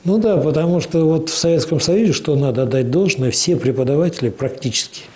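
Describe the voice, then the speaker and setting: A man with a soft, dark-toned voice answers interview questions as an official. He explains things in a steady, measured way, often pausing on drawn-out hesitations. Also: a little high. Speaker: interview guest, cultural organization official.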